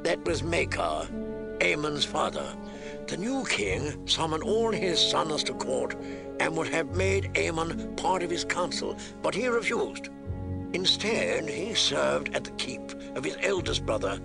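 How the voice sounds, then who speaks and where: Animated audiobook man with gravelly creak. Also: fairly high pitch, measured pace. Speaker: audiobook narrator. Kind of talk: expressive audiobook narration with character dialogue. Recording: music behind the reading.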